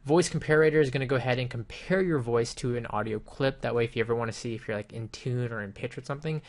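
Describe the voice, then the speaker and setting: A man with a mid-pitched voice full of vocal fry talks through a piece of software. His delivery is informal and explanatory, like a casual reviewer recording a screen demo at his computer. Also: fast talker.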